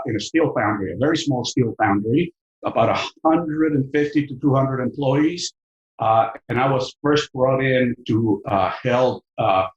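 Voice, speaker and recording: A man's voice: medium pitch, highs cut off. A middle-aged man with a Mexican accent, a professor in a podcast interview, speaking thoughtfully with many fillers and repeated words. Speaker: business school professor, guest. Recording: echoey room.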